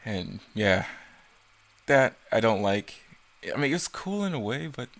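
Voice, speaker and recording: A man's voice: medium pitch, sing-song, unhurried. A man giving casual, self-critical, rambling commentary on his own creative work.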